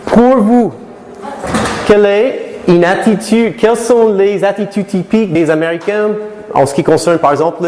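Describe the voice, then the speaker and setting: A man's voice: fairly high pitch, darkish tone. A middle-aged American man, a native English speaker, giving a live conference talk to students, often asking the audience questions and restarting his phrases. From United States. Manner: asks the audience many questions, halting with restarts. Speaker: lecturer. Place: lecture hall. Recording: echoey hall, long reverb.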